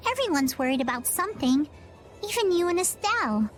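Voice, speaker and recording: A woman's voice: very high voice, sing-song, a little fast. A woman playing an earnest, innocent youngster in a fantasy video game cutscene. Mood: earnest, wistful. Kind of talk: English game cutscene voice acting. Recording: music behind the voice.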